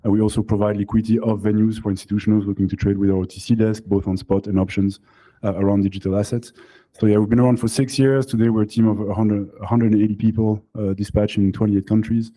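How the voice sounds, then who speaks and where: A man with a French accent speaking as a panelist at an evening industry event, quick and full of restarts. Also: lowish voice, flat delivery, dark tone, rough recording. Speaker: panelist, company co-founder. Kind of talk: panel discussion. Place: event stage.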